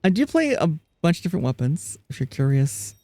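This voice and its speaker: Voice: medium pitch, sing-song, brisk, warm tone, no room sound. A streamer chatting casually during a live gaming stream, laughing often, reading chat aloud and restarting sentences. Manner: frequent restarts and fillers.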